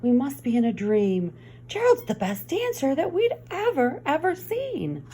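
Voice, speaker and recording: Woman doing exclaiming storybook jungle animals, raised pitch. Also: huge pitch swings, measured pace, warm tone, a little hiss or hum, exaggerated voice. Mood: amazed. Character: crowd of jungle animals, sneering then amazed.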